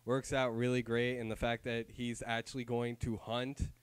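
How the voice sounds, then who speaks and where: A man's voice: mid-range voice, monotone, normal speed, clear, resonant tone, dry recording. A man co-hosting a review in casual chat, with the wry, keen talk of a fan. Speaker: comic book review co-host.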